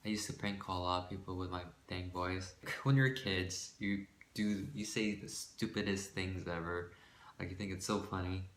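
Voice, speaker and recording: A man's voice: steady tone, moderate pace, fairly bright tone, slight background noise. Shy young man with a light voice. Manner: shy, rambling, full of fillers and self-corrections; shifts between a light voice and a forced deeper one. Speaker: vlogger. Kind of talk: casual talk to camera about his voice. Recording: echoey room.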